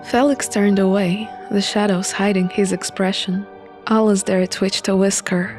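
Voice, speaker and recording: Unhurried woman reading a story game over music. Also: medium pitch, steady tone, a little mellow. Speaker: visual novel player reading aloud. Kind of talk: expressive narration of a story game playthrough.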